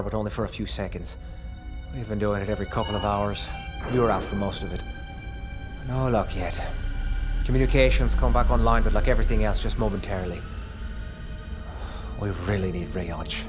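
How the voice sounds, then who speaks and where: Brusque low-voiced crewman played by a man. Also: natural voice. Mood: matter-of-fact. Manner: blunt, brusque, matter-of-fact. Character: hard, dismissive male crew member giving briefings. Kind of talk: audio fiction acting.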